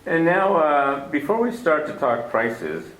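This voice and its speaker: A smooth, scheming villain in an old radio play, purring with unhurried, genteel menace. His pitch swings widely as he coaxes and threatens. The old broadcast recording sounds roomy. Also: very animated, a bit bright. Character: smooth, scheming older male villain, fat man. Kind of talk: 1940s radio acting.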